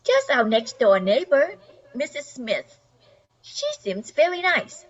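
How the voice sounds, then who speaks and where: An older woman plays a gracious suburban wife in an old-time radio comedy. Her voice is high and lively in pitch, with polite expressiveness. The sound is somewhat dull and lo-fi. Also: slow, full character voice. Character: polite suburban housewife, gracious new neighbor.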